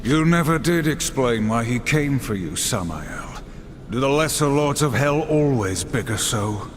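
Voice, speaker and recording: Man as a slow, grim apocalyptic horseman. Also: medium pitch, lively intonation, slight background noise, lightly acted. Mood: challenging. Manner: solemn, stern, measured. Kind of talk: dramatic video game voice acting.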